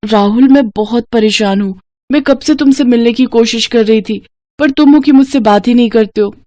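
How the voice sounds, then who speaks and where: A woman's voice: a little high, normal speed, a little mellow, pitched above the performer's own voice, close to an everyday voice. A woman voicing a headstrong, lovestruck schoolgirl in a dramatized story, speaking in an emphatic, earnest way. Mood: anxious. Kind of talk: dramatized Hindi moral story acting.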